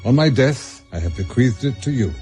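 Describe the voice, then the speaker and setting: A male actor as a remorseful elderly English father in a radio drama. His voice is mellow and medium-pitched, solemn and expressive, with quiet music underneath. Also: moderate pace, natural voice. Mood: sorrowful, solemn.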